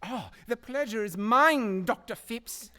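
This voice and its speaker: A man's voice: very high-pitched, expressive, takes it slowly, pushed and bright, heavily acted. An actor playing a polite, pompous artificial man and time machine in a comedy radio drama, formal and haughty, stammering when flustered. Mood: courteous.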